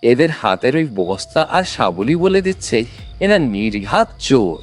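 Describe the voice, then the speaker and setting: A man playing a pious, shrewd, kindly old man in a dramatic cartoon story, speaking in a deliberate, theatrical way. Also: mid-range voice, very animated, faint music behind, close to an everyday voice. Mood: wary suspicion. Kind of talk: dramatic animated moral story.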